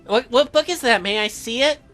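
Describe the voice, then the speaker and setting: A game player voicing a chirpy, nervous teenage girl who is halting and easily flustered. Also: medium pitch, fairly bright tone, faint music behind, close to an everyday voice. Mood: flustered, pleading.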